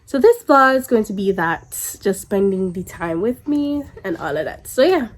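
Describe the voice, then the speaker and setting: Young woman, stuffy congested voice, casual vlog chat, rambling, middle pitch. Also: sing-song, normal speed. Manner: chatty, stops and restarts, rambling. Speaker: vlogger.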